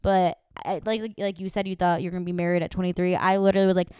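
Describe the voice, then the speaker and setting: Bubbly chatty young woman, smooth voice. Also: on the low side, little pitch movement, very fast, darkish tone, dry recording, clean studio sound. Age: 20s. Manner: bubbly, chatty, many "like" fillers. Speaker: podcast co-host. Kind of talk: casual chat.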